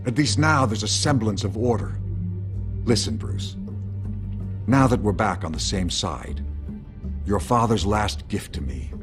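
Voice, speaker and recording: An actor playing a corrupt older mayor in a video game drama, speaking with smooth, pompous condescension. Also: male voice, on the low side. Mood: confiding. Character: smug, corrupt older male mayor and power broker. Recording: music behind the voice.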